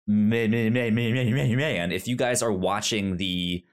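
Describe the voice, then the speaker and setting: A man's voice: fairly low pitch, fairly even intonation, normal speed. A man co-hosting a podcast, chatting casually about films, often stammering and restarting words. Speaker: podcast co-host. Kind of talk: casual movie-review chat.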